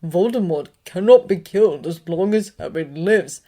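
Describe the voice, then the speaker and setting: A woman's voice: fairly low pitch, expressive. A woman doing a grave old headmaster. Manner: measured, gently firm.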